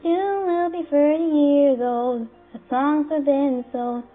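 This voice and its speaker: Woman cover singer with a high voice, unhurried sung phrasing. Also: steady tone. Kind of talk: singing a pop song cover. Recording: tinny phone-like sound with faint backing music.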